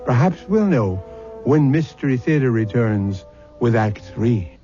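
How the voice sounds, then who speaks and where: A man narrating an old-time radio drama as host, solemn, measured and dramatic. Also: medium pitch, expressive. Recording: muffled old radio recording, music.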